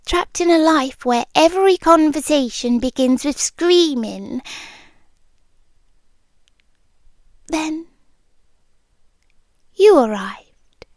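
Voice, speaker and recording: Actress as ancient female monster, British, possessive and lovelorn. High, very slow, intimate ASMR delivery, often whispered, close on mic. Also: expressive, no room sound, heavily acted. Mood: wistful, yearning. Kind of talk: yandere roleplay ASMR. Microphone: close microphone for headphones.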